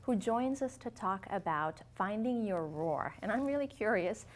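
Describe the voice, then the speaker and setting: A woman's voice: mid-range voice, animated, normal speed. A woman from Latin America hosting an interview show, asking curious, friendly questions. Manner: friendly, curious, rephrases questions with "you know". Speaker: host.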